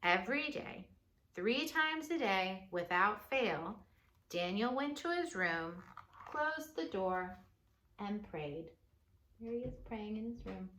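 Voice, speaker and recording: A woman reading a children's storybook aloud expressively, with dramatic pauses and chatty asides to her listeners. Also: mid-range voice, expressive, fairly bright tone, slight background noise.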